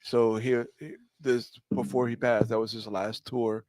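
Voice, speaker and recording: A man telling stories casually on a podcast, often halting and searching for his words. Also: medium pitch, level tone, a little mellow, no echo. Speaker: podcast co-host. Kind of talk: casual storytelling on a live podcast.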